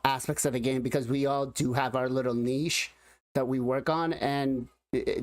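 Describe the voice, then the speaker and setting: A man hosting a casual creator panel, moderating in a relaxed way with lots of fillers. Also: medium pitch, no room sound. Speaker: panel host.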